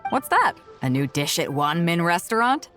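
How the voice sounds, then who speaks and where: Breezy, animated young woman. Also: fairly low pitch, moderate pace, faint music behind. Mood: curious. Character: easygoing, curious young woman, good-humoured. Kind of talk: video game voice acting.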